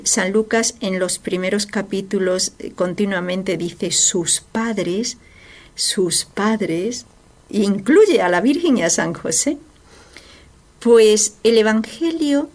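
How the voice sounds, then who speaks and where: A woman giving a devotional radio reflection, earnest and emphatic, asking rhetorical questions. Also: medium pitch. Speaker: religious radio speaker.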